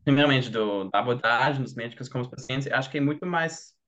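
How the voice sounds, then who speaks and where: Podcast guest, young German man, learned Portuguese, accented, searches for words, steady mid voice. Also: mid-range voice, quick pace. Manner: searches for words, many "tipo" fillers. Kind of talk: casual conversation.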